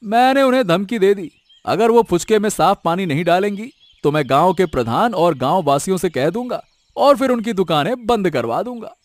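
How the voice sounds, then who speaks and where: A man's voice: sits high, animated, normal speed, no echo. A man voicing a scheming, fawning village troublemaker in a cartoon moral story, with a wheedling manner. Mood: eager, sly. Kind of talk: animated moral story dialogue in Hindi. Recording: music behind the voice.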